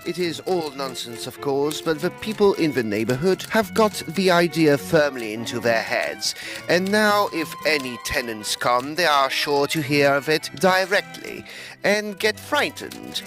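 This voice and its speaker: Dry, lively Edwardian gentleman, played by a man. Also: a little high, measured pace, soft background music. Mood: businesslike. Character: dry, teasing Edwardian estate agent, sceptical business partner. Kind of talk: tongue-in-cheek period audio drama dialogue.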